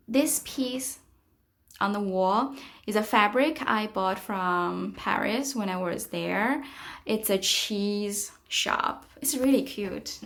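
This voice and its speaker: A middle-aged woman with a Chinese accent talks through her studio in a gentle, thoughtful way. Her voice sits at an ordinary mid pitch, and she stops often to search for words, filling the gaps with quiet fillers. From China. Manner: soft-spoken, reflective, with frequent pauses and fillers. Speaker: artist giving a studio tour. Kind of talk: casual guided tour narration.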